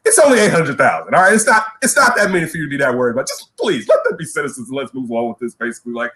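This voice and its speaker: A man co-hosting a casual sports talk show, ranting in an animated way and repeating words when he gets worked up. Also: mid-range voice, very fast. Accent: Chicago. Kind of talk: casual radio show rant.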